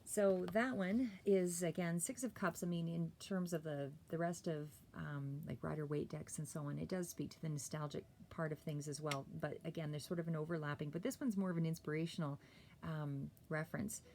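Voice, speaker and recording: A woman doing a casual, rambling tarot reading, a little hoarse and clearing her throat, with many fillers and restarts. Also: deep voice, fairly even intonation, normal speed, dark tone, a little hiss or hum. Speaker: tarot reader.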